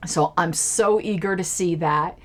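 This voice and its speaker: A woman's voice: fairly low pitch, a little slow. A woman streaming a casual political commentary show from her basement, talking in a rambling, chatty way with lots of fillers and restarts. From Colorado. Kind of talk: casual solo livestream chat. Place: home basement.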